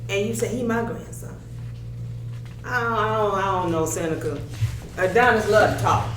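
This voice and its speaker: A woman's voice: medium pitch, moderate pace, natural voice. A woman playing a sloppy, tipsy, flirty middle-aged mother at a table read, with a loose, folksy manner. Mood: tipsy, puzzled. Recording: echoey room with loud hum.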